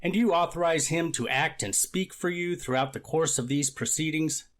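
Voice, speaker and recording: A man playing a formal judge, speaking in a measured, procedural manner in a courtroom reenactment. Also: on the high side, lightly acted. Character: formal, methodical male judge presiding over a hearing. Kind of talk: readers theater courtroom reenactment.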